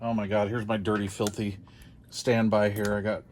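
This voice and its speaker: A man's voice: lowish voice, flat delivery, moderate pace, slight background noise. An older American man chatting casually as he hosts a hobby unboxing video, rambling along in a folksy, self-deprecating way.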